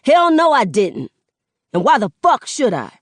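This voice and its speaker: A woman voicing a hurt young man who shouts and swears at his mother. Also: mid-range voice, expressive, normal speed, no room sound, studio quality, natural voice. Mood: angry.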